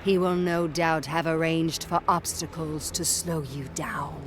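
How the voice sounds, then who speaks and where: A woman's voice: sits low, steady tone, unhurried, a little hiss or hum. A woman playing an earnest, cautious ally who gives solemn guidance in a game cutscene. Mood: grave, helpful. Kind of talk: video game cutscene acting.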